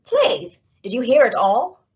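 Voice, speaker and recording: Snobbish scheming society woman, husky, swooping icy delivery, muffled vintage radio comedy. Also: fairly high pitch, sing-song, a little slow, exaggerated voice. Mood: conspiratorial. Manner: icy, clipped, condescending. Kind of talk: 1950s radio comedy acting. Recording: dull old-time radio recording.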